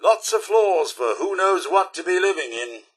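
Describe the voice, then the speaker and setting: Genteel British robot butler, fussy and wistful game companion, high-set voice with a husky edge. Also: male voice, expressive, moderate pace. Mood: chipper, mildly anxious. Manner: genteel, theatrical, old-fashioned politeness. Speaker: companion character in a video game. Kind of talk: scripted game voice lines.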